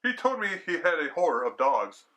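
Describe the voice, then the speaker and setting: A man voicing a calm young niece who tells tall tales matter-of-factly in a story reading. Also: on the high side, moderate pace, pitched above the performer's own voice.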